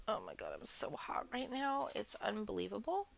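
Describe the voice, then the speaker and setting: A woman hosting a craft channel, chatting casually in a soothing, soft-spoken, rambling way. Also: mid-range voice, wildly swooping pitch, a little slow, creaky, a little hiss or hum. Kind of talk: casual chat while crafting.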